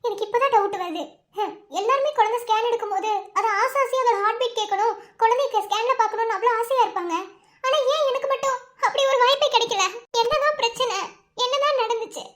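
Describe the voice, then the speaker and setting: Actress as distraught young mother for a family serial: extremely high, childlike pitch, crying, plaintive, doubting. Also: fast, a bit bright. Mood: troubled, puzzled. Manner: tearful, plaintive, questioning. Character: anguished young mother, full of doubt about her child. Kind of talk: melodramatic family serial acting.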